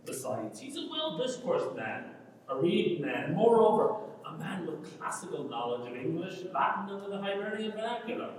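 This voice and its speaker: A man's voice: high voice, sing-song, moderate pace. A man playing a talkative, earnest young Irish countryman with a wordy, rhetorical manner, in a dramatic reading of a rural stage comedy. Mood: eager, proud. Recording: echoey hall from far off, faint noise.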